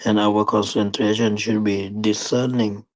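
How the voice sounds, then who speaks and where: Slow, low-voiced man guiding meditation. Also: little pitch movement, dry recording. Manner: deliberate, halting phrasing with long pauses. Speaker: meditation teacher. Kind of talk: guided meditation dharma talk.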